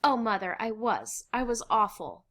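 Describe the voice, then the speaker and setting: A woman playing an earnest, lively teenage girl. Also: high voice, normal speed, voice pushed up, heavily acted. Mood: remorseful. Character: earnest, impulsive teenage girl in wartime hiding.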